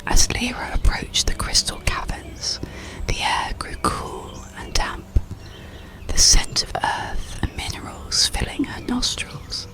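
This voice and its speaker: A narrator reading an ASMR bedtime story in a calm, breathy, soothing whisper, unhurried throughout. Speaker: audiobook narrator.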